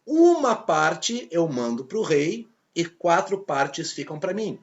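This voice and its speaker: Animated Brazilian male history teacher. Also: sits high, muffled recording. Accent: Brazilian Portuguese. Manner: lively storytelling, acts out quoted characters. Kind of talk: video lesson.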